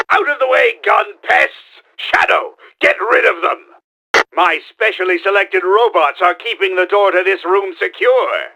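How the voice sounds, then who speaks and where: A male actor voicing a bombastic, scheming middle-aged mad scientist villain in a video game, with a raspy, gravelly voice and pompous, theatrical delivery. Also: sits high, bright, forceful tone, full character voice, rough recording. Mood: proud, commanding. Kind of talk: cartoonish video game voice acting.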